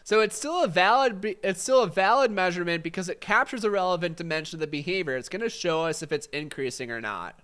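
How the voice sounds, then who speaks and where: A man's voice: high-pitched, animated, quick pace, hardly any pauses, fairly bright tone, clean tone. A man with a congested, fading voice teaching a casual live-stream exam study session, answering chat questions with lots of fillers. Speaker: exam prep instructor.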